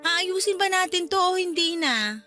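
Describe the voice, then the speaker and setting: A woman's voice: very high voice, expressive, normal speed, sharp tone, faint music behind, exaggerated voice. A woman playing a jealous, hurt, defensive wife in a Filipino radio drama, arguing and pleading with a Filipino accent. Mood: hurt, accusing. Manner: argumentative, pleading.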